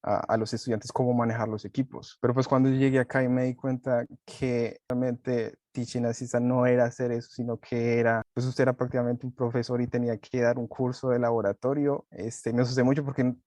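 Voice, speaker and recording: A young man from Colombia speaking on a panel in a casual, halting way, full of restarts and repeated words. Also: medium pitch, flat delivery, warm tone, no echo. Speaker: panel guest, master's student.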